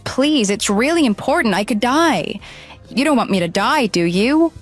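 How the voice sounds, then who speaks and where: An actress playing a deceitful runaway bride in an audio drama, pleading, flustered and defensive. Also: female voice, on the high side, lively intonation, moderate pace, faint music behind. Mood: nervous pleading. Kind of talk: dramatized phone dialogue.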